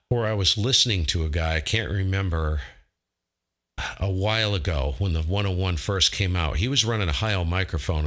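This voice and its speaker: A man's voice: deep voice, bright, forceful tone. A man talking casually as an amateur radio operator in conversation with another.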